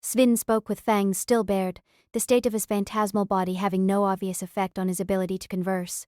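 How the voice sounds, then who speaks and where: A woman reading a novel as audiobook narrator, in a measured, literary storytelling manner. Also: medium pitch, flat delivery, darkish tone, dry recording, clean studio sound.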